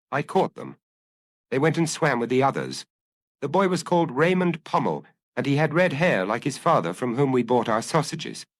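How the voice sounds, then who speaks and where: A man's voice: on the low side, no echo. A man voicing a troubled young Frenchman in an audiobook, melancholy and hesitant as he explains himself earnestly. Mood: confessional, agitated. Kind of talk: dramatised audiobook dialogue.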